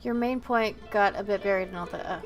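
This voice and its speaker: A woman's voice: medium pitch, fast. A woman giving casual reactions as a game streamer while she plays. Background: game music.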